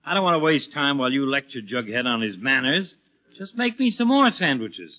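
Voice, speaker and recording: A man's voice: medium pitch, big pitch swings, brisk, close to an everyday voice. A man playing an exasperated, blustery middle-aged suburban father in a 1940s radio comedy, with dry sarcasm. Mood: impatient. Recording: muffled vintage 1940s radio broadcast.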